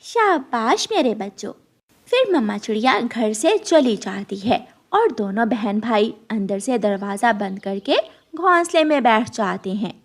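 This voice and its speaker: A woman narrates a children's fable in a scripted storytelling style. Her voice sits fairly high. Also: sing-song, measured pace, few pauses.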